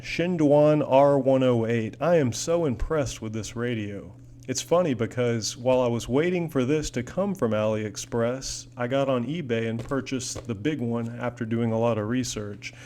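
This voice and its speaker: A man's voice: medium pitch, a little mellow, a little hiss or hum. Rambling, folksy American man. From United States. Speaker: hobbyist vlogger. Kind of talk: casual product chat.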